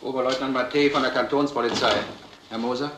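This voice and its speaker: An actor playing a brisk, authoritative police lieutenant in a crime drama, giving curt commands. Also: male voice, mid-range voice, fairly even intonation, close to an everyday voice. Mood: sober. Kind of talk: German film crime drama acting. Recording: echoey old film soundtrack, noisy.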